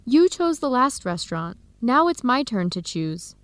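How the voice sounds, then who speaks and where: A woman's voice: a little high, big pitch swings, unhurried, no room sound, clean studio sound. A woman with an American accent playing a friendly young researcher in an English-learning radio drama. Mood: friendly, keen. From United States. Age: young adult.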